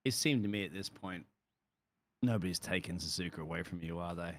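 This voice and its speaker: A man's voice: low-pitched, brisk, slight background noise. A man commentating a sim race and interviewing drivers in a chatty, matey way with frequent restarts.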